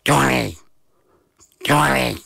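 A man voicing a small talking didgeridoo with a gruff voice and polite manner in a children's story. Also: mid-range voice, very slow, bright, forceful tone, dry recording, studio quality, full character voice. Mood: calling out.

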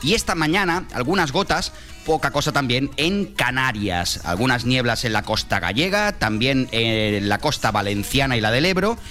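A male radio meteorologist with an accent from Spain delivers the forecast in a conversational style. His voice sits at a middle pitch, with faint music behind it. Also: expressive, moderate pace, sharp tone. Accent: Spanish from Spain.

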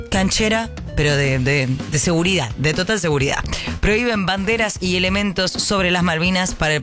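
A man with an Argentine Rioplatense accent hosting a morning radio show, reading headlines and chatting playfully with listeners. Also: on the high side. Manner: playful, chatty, drawn-out emphasis. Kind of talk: radio press review and chat. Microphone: studio microphone.

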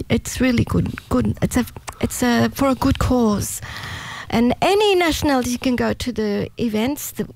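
Chatty woman host, fairly high, dry sound. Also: vocal fry, a little mellow, no echo, poor audio. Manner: chatty, breaks off words midway. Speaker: radio host. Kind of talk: casual interview chat.

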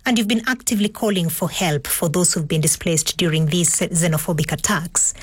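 A woman presenting a news program, reading items and interviewing guests in a measured way. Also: on the low side, husky, no room sound. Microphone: studio mic.

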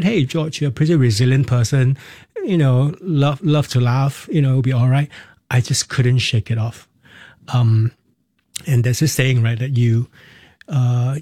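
A middle-aged man, a Cantonese speaker, reflecting on personal experience in a halting, candid way as an interview guest. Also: medium pitch, dark tone, no echo, clean studio sound. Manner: halting, candid, tags phrases with "right" and "you know". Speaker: interview guest, performer and counselor.

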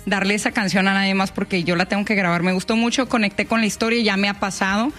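A woman singer talking as a guest on a radio show, colloquial and full of slang. Her voice sits in a middle pitch. Faint music plays behind her in the studio booth. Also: fast. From China, Nuevo León, Mexico. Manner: lively, colloquial slang, laughs easily. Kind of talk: casual chatty interview.

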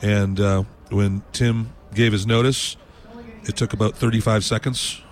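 Relaxed low-voiced musician. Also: male voice, a little slow. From New England. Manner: relaxed, rambling storyteller. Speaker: blues musician. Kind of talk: casual interview answers.

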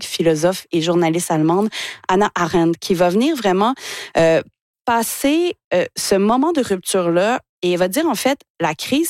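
A woman's voice: mid-range voice, a little mellow, dry recording, clean studio sound. A woman, giving a radio column on a word. She is thoughtful and hesitates, with many fillers. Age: 40s. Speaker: radio columnist, author and poet. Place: radio studio.

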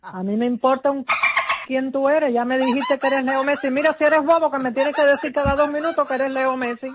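Feisty high-voiced Cuban woman on phone. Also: steady tone, brisk, crowd noise. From Cuba. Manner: feisty, combative, blunt. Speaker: prank call recipient. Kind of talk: heated informal phone argument. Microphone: telephone. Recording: muffled, noisy telephone line.

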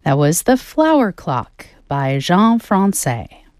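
A woman's voice: lowish voice, big pitch swings, slow, darkish tone, dry recording, clean studio sound. A woman classical radio host announcing pieces in a friendly way.

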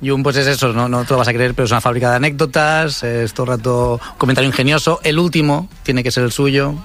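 A man's voice: medium pitch, fairly even intonation, fast talker, fairly bright tone. A man who directs and writes a TV series, chatting on the radio in a jokey way and laughing easily. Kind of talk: casual radio chat.

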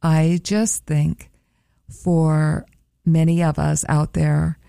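A woman with a low, mellow voice that has a gravelly edge talks up a museum's events and prices in a friendly, promotional way. The sound is dry and close, with no room echo. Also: level tone, drawn-out words. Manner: upbeat, promotional, careful over a foreign word. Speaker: museum staff guest. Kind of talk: radio interview chat.

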